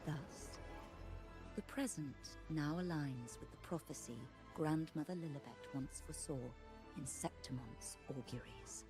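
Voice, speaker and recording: A woman playing a solemn priestess in a video game, speaking in a formal, measured manner. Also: deep voice, warm tone. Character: solemn priestess who recounts prophecies. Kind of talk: scripted video game acting. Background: game music.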